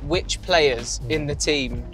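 A man's voice: on the high side, slow, music in the background, low-quality recording. A young man hosting a casual interview while driving, upbeat and teasing. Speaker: host. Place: inside a car, driving.

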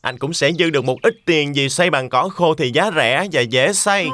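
A man's voice: fairly high pitch, normal speed, over music, close to an everyday voice. A man voicing a lazy, carefree young pig brother in a children's cartoon. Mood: pleased.

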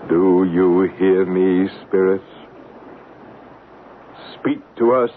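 A solemn low-voiced psychic investigator. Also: male voice, very slow. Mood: solemn pleading. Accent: American. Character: earnest, solemn male psychic investigator recounting a haunting. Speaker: narrator and lead actor in a radio mystery play. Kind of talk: 1970s American radio drama acting. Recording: noisy narrow-band vintage radio broadcast.

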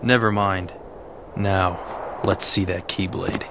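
A stern, dismissive young swordsman from a video game. His voice is low with heavy fry and he speaks in terse, serious lines. Background noise is clearly audible. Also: fairly even intonation, takes it slowly, a bit bright, exaggerated voice. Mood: cold, menacing. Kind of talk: video game cutscene acting.

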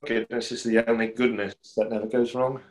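A young man acts a tormented, proud conjurer in a stage play. His medium-pitched voice is earnest and dramatic, heavy with remorse. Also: level tone, a little fast, close to an everyday voice. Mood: grave. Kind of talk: online play reading. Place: small room, indoors.

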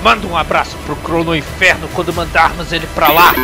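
A man's voice: on the high side, fairly even intonation, fairly quick, gravelly. A man playing a brash, joking resistance fighter in an audio drama. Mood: cocky. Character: cocky male resistance fighter Tiki, brash. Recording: loud music and vehicle noise.

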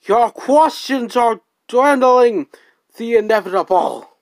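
Menacing villain king guy, woman doing the voice, low, slow, heavy, dry mic. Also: animated, no echo. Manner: slow, heavy, threatening delivery. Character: menacing male demon king villain, cold and grand.

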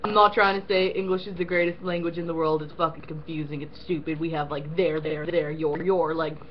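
A young woman co-hosting a casual, profane rant, animated and full of fillers like "um" and "like". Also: low-pitched, fairly quick, highs cut off, slight background noise. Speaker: co-host. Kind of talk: casual ranting chat.

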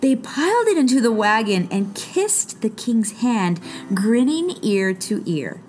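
A woman reading a children's story aloud expressively, with dramatic pauses and stretched words. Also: a little high, very animated, darkish tone, soft background music. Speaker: storybook reader.

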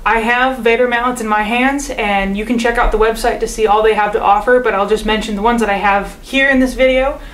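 A young woman teaches with a calm, patient delivery, her voice sitting a little high. She's filmed in her living room by a camera a few feet away, and the room adds a noticeable echo. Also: a little fast, hardly any pauses. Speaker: percussion teacher. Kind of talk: instructional demonstration.

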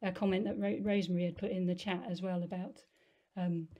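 A woman's voice: lowish voice, level tone, fairly quick, dull audio. A middle-aged woman hosting an online seminar over a video call, speaking hesitantly with many fillers. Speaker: seminar host.

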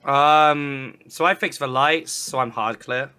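A man's voice: medium pitch, slow, pushed and bright, smooth. A British man chatting casually as a player in a group online game.

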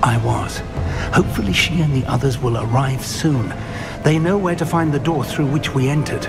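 An actor playing a concerned, supportive elderly wizard professor in a video game, earnest and expressive. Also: male voice, mid-range voice, moderate pace, vocal fry, over music, natural voice. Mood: grave, resolute. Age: older. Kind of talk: cinematic video game acting.

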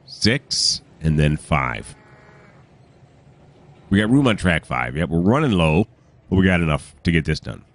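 A man with a cold that has deepened his voice, thinking aloud in casual commentary while playing a train simulator. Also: big pitch swings, unhurried, pauses often. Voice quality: deep. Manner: thinks aloud, rambling, self-correcting. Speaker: gaming channel host.

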